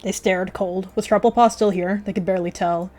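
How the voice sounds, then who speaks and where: A woman's voice: medium pitch, fairly even intonation, a little fast, a little mellow. A woman narrating a roleplay story dramatically as she plays. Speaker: roleplay narrator, video creator.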